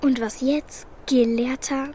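High-voiced, plucky orphan girl. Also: fairly even intonation, slow, warm tone, a little hiss or hum. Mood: pert, determined. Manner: blunt, pert, commanding. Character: plucky, bossy orphan girl, practical and sharp-tongued. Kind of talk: children's radio play acting.

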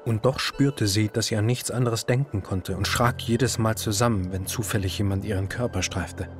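An actor narrating a literary radio drama as a grave, measured male storyteller. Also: lowish voice, soft background music, lightly acted. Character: male storyteller recounting a woman's fear, grave.

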